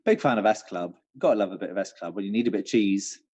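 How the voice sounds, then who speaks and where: A man's voice: fairly low pitch, quick pace, darkish tone, lo-fi sound. A man co-hosting a quiz with casual, teasing banter. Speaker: quiz co-host.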